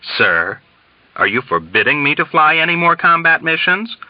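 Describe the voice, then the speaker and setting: A man's voice: a little high, sing-song, moderate pace, no room sound, muffled recording, voice pushed up. A man voicing an earnest, self-serving mess officer in an audiobook, deferential and plaintive. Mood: serene. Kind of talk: dramatized audiobook reading.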